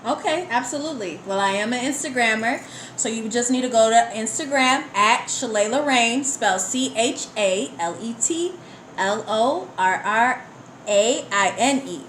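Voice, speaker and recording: Female interview guest: slightly high pitch, sincere, uplifting, conversational, recorded live with noticeable room echo. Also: expressive, moderate pace, a bit bright. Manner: motivational, earnest. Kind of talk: casual interview chat. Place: indoor room at a live online broadcast. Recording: roomy live sound, faint noise.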